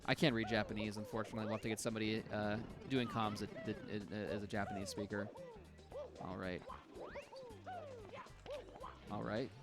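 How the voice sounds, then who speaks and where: A man's voice: on the low side, fast. Chatty man commentating a speedrun. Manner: relaxed, chatty, laughs often, explains tech. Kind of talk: live game commentary. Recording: music playing behind.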